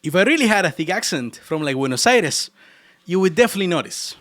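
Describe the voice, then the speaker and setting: A man's voice: sits high, very animated, fast talker, fairly bright tone. A man from Argentina with an Argentine Spanish accent, chatting casually and teasingly with his live chat while he codes. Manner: playful and teasing, laughs often. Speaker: programming livestreamer.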